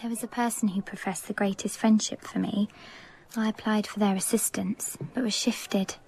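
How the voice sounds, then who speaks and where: An actress playing a coy, flirtatious single lady in a radio drama, demure, formal and breathy. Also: medium pitch, fairly even intonation, moderate pace, a little mellow, whispered, lightly acted, rough recording. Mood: wheedling.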